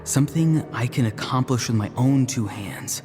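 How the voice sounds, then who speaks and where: A man playing an earnest, determined young spellcaster in a video game, speaking deliberately. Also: mid-range voice, lightly acted. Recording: long reverb, clear music behind.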